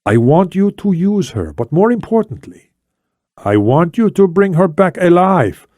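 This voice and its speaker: A man acts out an older Spanish ex-intelligence officer in a thriller audiobook. He is shrewd, commanding and formal, with deliberate, emphatic phrasing that swings widely in pitch. The sound is close and dry. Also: very animated, a little mellow, no room sound. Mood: insistent, intense. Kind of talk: acted audiobook dialogue.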